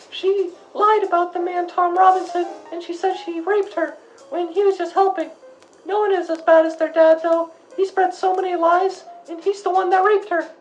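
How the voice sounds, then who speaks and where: A very high, childlike voice over music: a woman acting a nine-year-old tomboy girl in a radio play. She is blunt, indignant and ready to argue with grown-ups. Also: dull audio. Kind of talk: acted radio interview for a school project.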